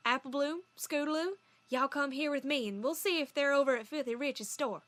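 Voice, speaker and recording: A woman's voice: high-pitched, fairly quick, fairly bright tone, no echo. A woman voicing an easygoing, folksy country mare pony with a Southern US accent in a cartoon-style audio story. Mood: decisive. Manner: folksy, reassuring.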